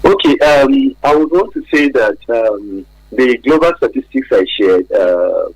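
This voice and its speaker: A man's voice: mid-range voice, sing-song, unhurried, vocal fry, slightly rough, no room sound, poor audio. A man with a Nigerian accent giving hesitant, explanatory answers as an expert guest in a radio interview. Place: radio studio.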